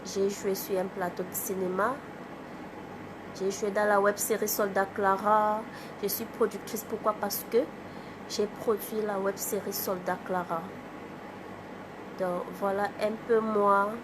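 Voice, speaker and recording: A woman from Cameroon with a cold, hosting a casual livestream, greeting viewers by name and chatting in a halting way. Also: mid-range voice, flat delivery, background noise. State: has a cold. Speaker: livestream host, artist. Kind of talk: casual live chat with viewers.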